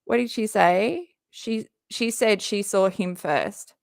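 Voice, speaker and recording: Fields: Sex: woman. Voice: gravelly and hoarse. Style: chatty live-stream host. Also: medium pitch, slow, no room sound, studio quality. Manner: chatty, jokey, talks to her viewers. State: hoarse, often losing her voice. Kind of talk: casual live-stream reaction chat.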